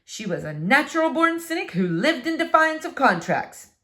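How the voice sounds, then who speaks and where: A woman reading a children's book aloud as a storyteller, with expressive, dramatic emphasis. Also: high-pitched, normal speed.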